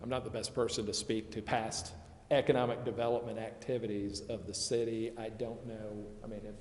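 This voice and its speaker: A man's voice: on the low side, level tone, brisk. A man explaining things at a public meeting as an adviser, hedging and repeating himself as he goes. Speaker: presenter advising a city committee. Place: council chamber. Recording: echoey meeting chamber, faint noise.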